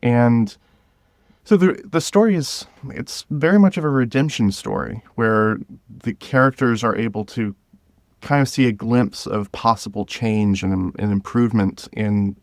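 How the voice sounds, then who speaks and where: A man chatting casually as an interview guest, halting as he searches for words. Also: mid-range voice, no echo, studio quality. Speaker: podcast guest, writer and creator.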